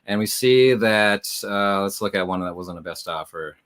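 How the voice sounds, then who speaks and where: Man, lowish pitch, livestream host, casual explainer, conversational, speaks straight to viewers. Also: normal speed, a bit bright. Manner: conversational, addresses viewers directly.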